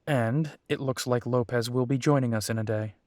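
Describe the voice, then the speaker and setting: A man's voice: mid-range voice, fairly even intonation, normal speed, a little mellow, no room sound, studio quality, lightly acted. A man playing a young, protective and determined werewolf in an audio roleplay, speaking earnestly. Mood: serious, determined.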